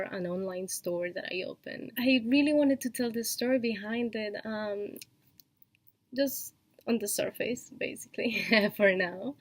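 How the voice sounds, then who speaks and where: Colombian-accented woman vlogger, slow and unhurried, dry close sound, hesitant with fillers and little laughs. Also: medium pitch, vocal fry, no echo. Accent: Colombian Spanish. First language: Spanish. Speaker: vlogger and online shop owner. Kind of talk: casual personal vlog.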